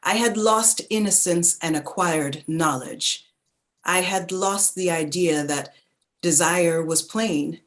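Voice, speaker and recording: A woman acting a reflective low-voiced narrator. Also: takes it slowly, a bit bright. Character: reflective woman narrator remembering her bookish childhood. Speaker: actor playing the narrator. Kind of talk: dramatic literary reading.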